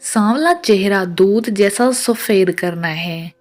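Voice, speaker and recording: A woman narrates short scripted tips at a markedly slow pace. Her voice sits at an average pitch for a woman, and her delivery is measured and instructional. Music plays behind the voice-over. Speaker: narrator of household and health tips.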